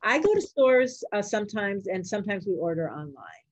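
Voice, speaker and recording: A woman's voice: medium pitch, very animated, moderate pace. An older woman answering interview questions casually, in simple encouraging words meant for children. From Puerto Rico or Puerto Rican family. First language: Spanish and English. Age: older, a grandmother. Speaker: interviewed doctor.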